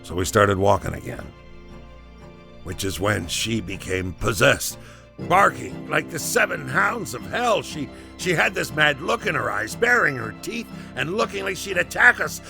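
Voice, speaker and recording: An actor playing a rattled soldier telling a halting, conversational first-person story in an audio drama, with a gravelly voice. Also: male voice, fairly high pitch, lively intonation, music in the background. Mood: shaken, intense. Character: rattled male battalion soldier recounting a disturbing morning.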